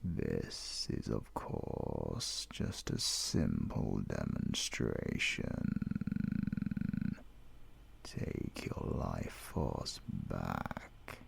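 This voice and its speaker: A British man playing a menacing, pompous male supervillain in an audio roleplay, with a breathy voice that often drops to a whisper. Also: very deep voice, expressive, a little hiss or hum, heavily acted. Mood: menacing, relishing cruelty. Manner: slow, deliberate, pompous and threatening. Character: menacing, mysterious male supervillain who drains life force. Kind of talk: ASMR audio roleplay monologue.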